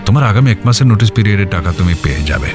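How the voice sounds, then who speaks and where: Man acting a low-voiced, stern HR manager. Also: expressive, very fast, lightly acted. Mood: stern, measured. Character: stern male HR manager, formal and firm. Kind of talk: audio story acting. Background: background music.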